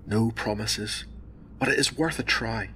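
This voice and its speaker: Crisp, bright-voiced hospital doctor. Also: male voice, lowish voice, a little hiss or hum. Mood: calm, professional. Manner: measured, reassuring, formal. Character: calm, professional male hospital doctor advising a relative. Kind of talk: radio drama acting.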